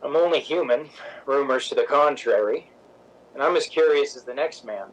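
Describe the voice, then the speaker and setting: An actor playing a wry, candid, self-assured stage director in a radio drama, speaking plainly. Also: male voice, mid-range voice, normal speed, lightly acted. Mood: wry, rebuffing. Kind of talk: old-time radio drama acting. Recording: slightly dull, lo-fi sound.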